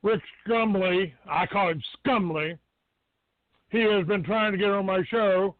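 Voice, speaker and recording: A man's voice: measured pace, no echo. A man hosting a live internet radio call-in show, blunt, combative and dismissive with his callers. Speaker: talk show host taking calls. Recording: narrow phone-like low-fidelity internet radio sound.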